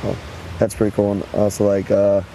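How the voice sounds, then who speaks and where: Hoarse young musician guest, gravelly low voice, easygoing and rambling, faint outdoor noise. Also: male voice, completely flat delivery, a little fast, warm tone, rough recording. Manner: laid-back, laughs often, rambling. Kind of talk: casual interview chat. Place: outdoors at a festival.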